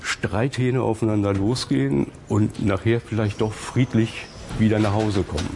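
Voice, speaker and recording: Gravelly older man, lowish voice. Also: fairly even intonation, normal speed, darkish tone, a little hiss or hum. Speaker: volunteer arbitrator. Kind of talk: interview answers.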